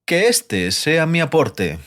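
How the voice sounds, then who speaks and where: An actor playing a curious, witty bard with a theatrical, inquisitive manner in a fantasy audiobook. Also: male voice, on the high side, wildly swooping pitch, slow, fairly bright tone, heavily acted. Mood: playful, probing. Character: curious male bard poet, uneasy but witty. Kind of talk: dramatized fantasy audiobook dialogue.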